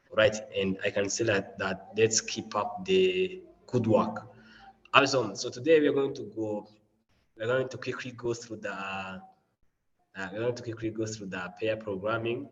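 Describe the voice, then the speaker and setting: A man's voice: on the low side, big-room sound, lo-fi sound. A man with a mild flu presenting a learning module on a video call, upbeat, with many fillers and repeated phrases. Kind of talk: online teaching session.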